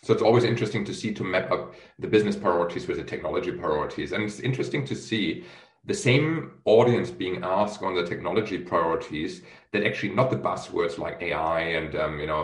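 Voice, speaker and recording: A man's voice: sits low, brisk. A man hosting a business interview, asking long questions with many fillers and restarts. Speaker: host, chief executive of a research firm. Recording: echoey room.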